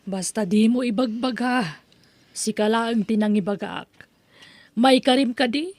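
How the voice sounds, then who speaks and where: A woman voicing a mother coming home from abroad who speaks in a brisk, secretive way. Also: a little high, a little mellow, dry recording. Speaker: voice actor. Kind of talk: audio drama acting.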